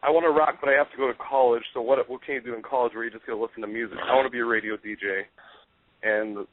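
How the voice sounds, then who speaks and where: Nebraska guy, guest dj, talks fast and eager, dry and lo-fi. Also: mid-range voice, fairly even intonation. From Nebraska, USA. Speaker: guest, radio DJ and band member. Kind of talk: casual interview answers. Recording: dry, tinny phone-like sound.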